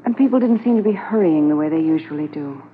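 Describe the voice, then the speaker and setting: Wistful wife in tinny 1950s radio drama. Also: female voice, low-pitched, animated, fast. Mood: reflective. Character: wistful, anxious, affectionate Mountie's wife. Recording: muffled noisy 1950s radio transcription.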